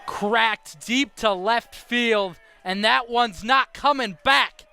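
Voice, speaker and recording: High-pitched young American baseball announcer, crisp and bright. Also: male voice, takes it slowly. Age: young adult. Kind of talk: live baseball radio and TV play-by-play commentary.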